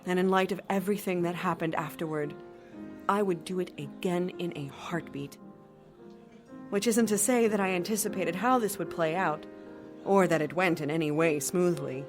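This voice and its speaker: An actress playing an articulate, self-assured corporate lawyer, giving a measured, wry first-person monologue in an audio drama. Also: female voice, lowish voice, natural voice. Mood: wry, composed. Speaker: narrator, chief counsel. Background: music under the voice.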